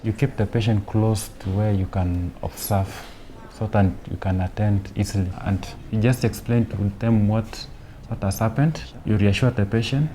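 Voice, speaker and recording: Calm low-voiced male nurse explaining. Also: normal speed, a little hiss or hum. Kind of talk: explaining nursing care.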